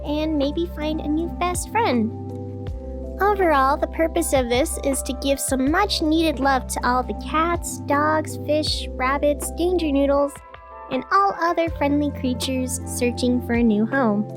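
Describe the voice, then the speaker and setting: A woman's voice: high voice, measured pace. A woman playing an earnest, flustered radio host in a scripted audio drama, chatty and a little hesitant as she bravely soldiers on. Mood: cheerful announcing. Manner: hesitant, self-reassuring, chatty. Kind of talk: scripted fiction podcast in the form of a community radio broadcast. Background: music under the voice.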